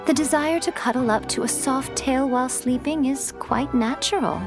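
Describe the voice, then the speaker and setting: An actress playing a sly, teasing, elegant fox shrine maiden in a video game, coy and poised. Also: female voice, sits high, normal speed. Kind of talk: acted video game dialogue. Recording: music behind the voice.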